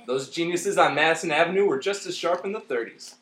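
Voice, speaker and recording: A man gives wry, casual podcast commentary. His voice is bright and a little high, with clear room echo. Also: brisk. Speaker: podcast co-host. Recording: roomy, echoing room sound.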